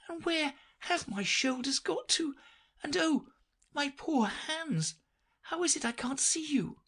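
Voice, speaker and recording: Polite storybook girl, high voice, earnest protests; woman voicing. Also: expressive, slow pace, fairly bright tone, exaggerated voice. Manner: polite, earnest, a little indignant. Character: puzzled polite little girl, truthful and indignant.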